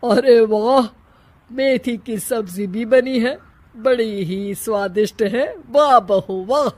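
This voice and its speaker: A woman's voice: on the high side, takes it slowly, a little mellow, dry recording. A woman voicing a kindly, appreciative older father-in-law in a cartoon story, with an approving manner. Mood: delighted, approving.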